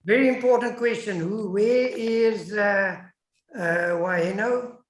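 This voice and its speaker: A woman's voice: mid-range voice, a little slow. A South African woman whose first language is Afrikaans, giving an emphatic webinar lecture over an online call as a guest academic. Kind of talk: webinar lecture with audience questions. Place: indoors, online video call. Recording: echoey room on online call.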